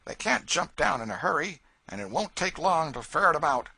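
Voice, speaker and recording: A man reading aloud, voicing a brash, coarse slave catcher who blusters loudly. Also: on the high side, very animated, brisk, gravelly, slightly rough, no echo, pitched above the performer's own voice, heavily acted. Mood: blustering. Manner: loud, blustering, coarse frontier speech.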